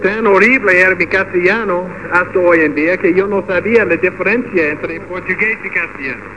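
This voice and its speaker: Guy pastor talking in shaky second-language spanish, kinda muffled with noise behind. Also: high-pitched. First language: English. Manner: halting Spanish with restarts. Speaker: radio pastor. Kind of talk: church ceremony speech.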